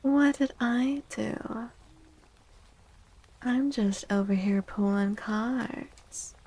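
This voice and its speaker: Slow, husky, soft-spoken ASMR woman. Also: on the high side, low-quality recording. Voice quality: soft, breathy, husky, often near whisper. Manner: gentle, playful, repeats words and phrases softly. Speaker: ASMR streamer, tarot reader. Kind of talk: soft-spoken ASMR livestream, chatting with viewers.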